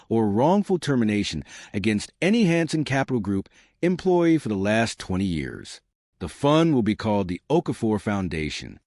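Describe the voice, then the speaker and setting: A cold, composed mafia boss delivering a verdict without ever raising his voice, as a man reads him. The voice is low and quietly controlled. The recording is dry and close. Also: no room sound.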